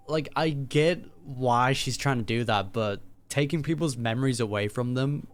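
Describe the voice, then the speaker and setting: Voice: mid-range voice, unhurried. Casual streamer musing aloud over game music. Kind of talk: casual gameplay reaction commentary.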